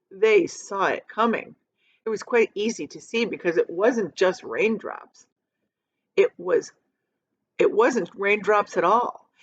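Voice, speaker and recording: Animated woman reading a storybook aloud. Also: mid-range voice, muffled recording. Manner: dramatic, emphatic repetition and pauses. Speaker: teacher reading a children's book aloud. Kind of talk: expressive storybook read-aloud.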